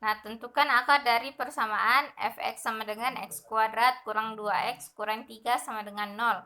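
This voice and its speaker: A young woman explaining a numerical methods lesson over slides, steady and methodical, often pausing with fillers. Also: fairly high pitch. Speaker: math lecturer.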